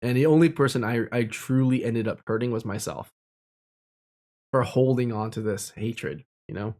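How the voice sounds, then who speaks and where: A man's voice: medium pitch, normal speed, a little mellow. A young man telling personal stories in a casual, candid and reflective way, speaking informally. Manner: candid, informal, with mild swearing.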